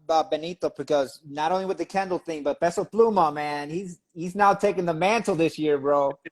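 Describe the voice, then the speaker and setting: A man's voice: on the high side, fairly quick. A man speaking informally and with slang as the interviewer in a casual chat. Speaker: interviewer, magazine editor.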